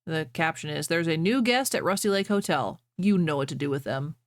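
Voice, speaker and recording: A woman with a fairly low voice streams to her viewers. She chats casually and reads stories aloud. The sound is dry, with very little room in it. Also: expressive, fairly quick, no room sound. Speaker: streamer reading fan fiction to viewers. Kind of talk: casual livestream chat and reading aloud.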